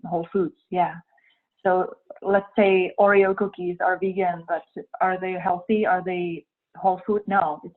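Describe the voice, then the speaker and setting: A young woman with a Czech accent answering interview questions, hesitant and thoughtful, with many ums and uhs. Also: fairly low pitch. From Czech Republic. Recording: tinny phone-like sound.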